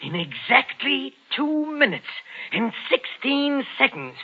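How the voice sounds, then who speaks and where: An actor playing a fussy henpecked husband turned gleeful murderer, theatrical and excitable in a vintage radio suspense style. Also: male voice, very high-pitched, takes it slowly, creaky, breathy edge, full character voice. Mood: gloating. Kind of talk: old-time radio suspense acting with narration. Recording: muffled vintage radio recording with music.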